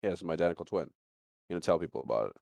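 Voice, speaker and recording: A man's voice: low-pitched, lively intonation, rapid-fire, vocal fry, a little mellow, dry recording. A man hosting a casual podcast, talking in a rambling way and circling back over his phrases. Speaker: podcast host.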